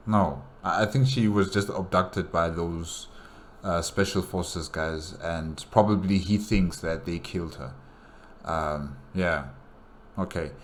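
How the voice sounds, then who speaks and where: Deep voiced guy doing reaction commentary, musing out loud, chatty. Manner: musing, thinking aloud. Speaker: reaction video host. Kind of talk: casual solo commentary.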